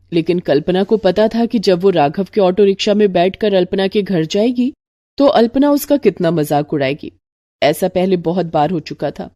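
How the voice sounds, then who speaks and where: A woman's voice: medium pitch, mellow, no room sound, studio quality. A woman narrating a scripted moral story as a storyteller.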